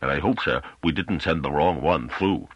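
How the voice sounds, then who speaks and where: A man's voice: very deep voice, normal speed, fairly bright tone, no room sound. A man voicing a big male underling, falsely humble and needling, in an audiobook with a dull recording. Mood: mock humble.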